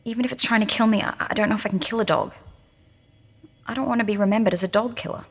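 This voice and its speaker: Woman acting tough, sardonic captive; husky, animated, scared underneath, set in long hollow reverb, for audio fiction. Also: mid-range voice, fast, close to an everyday voice. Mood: resigned, defiant.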